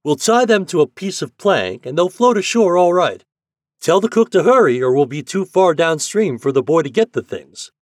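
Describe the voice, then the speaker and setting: A man performs a jolly riverboat captain from a children's story. He roars his words heartily in a raised pitch with big swings, kindly underneath the bluster. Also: big pitch swings, normal speed, hardly any pauses, darkish tone, husky, dry recording, studio quality. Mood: warm, brisk orders. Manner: roaring, calling out through a trumpet.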